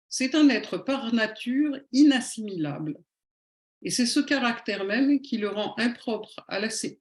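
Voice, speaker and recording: A woman giving a prepared public lecture in a didactic, rhetorical manner, with emphatic phrasing and deliberate pauses. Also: a little high, darkish tone. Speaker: lecturer, conference speaker. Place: indoor room at a public talk. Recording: echoey room.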